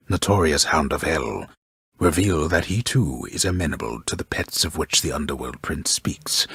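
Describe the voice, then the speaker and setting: An actor playing a solemn, wry storyteller of underworld myths in a video game, with grandiose, measured delivery and a gravelly, husky voice. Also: male voice, extremely low pitch, fairly bright tone, no echo, studio quality, full character voice. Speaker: storyteller narrator. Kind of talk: scripted dramatic video game narration.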